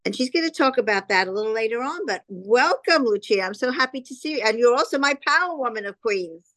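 A woman's voice: fairly high pitch, animated, quick pace, a bit bright, dry recording, rough recording. A woman from New hosting a podcast interview with gushing, effusive praise for her guest. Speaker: podcast host, publisher.

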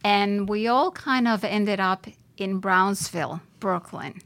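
An older woman from Puerto Rico talks about her life as a podcast guest, thoughtful and hesitant, often pausing and restarting. Her voice carries a gravelly fry. The recording is close and dry, with no room around it. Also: medium pitch, a bit bright, no echo. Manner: reflective, many hesitations and restarts. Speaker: judge, interview guest. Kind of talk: podcast interview.

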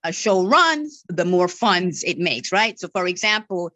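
A woman's voice: lowish voice, big pitch swings, unhurried, pushed and bright, no echo. Lady who stutters, keeps saying right?, dry close mic. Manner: stutters, repeats words, says "right?" often. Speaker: marketing and media relations director, podcast guest. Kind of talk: interview answers.

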